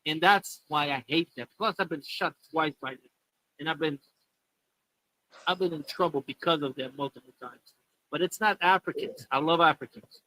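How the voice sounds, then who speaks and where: A man's voice: a little high, normal speed, pushed and bright, no room sound, poor audio. A man with an American accent in a casual live-stream chat, talking bluntly and profanely with strong opinions. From United States.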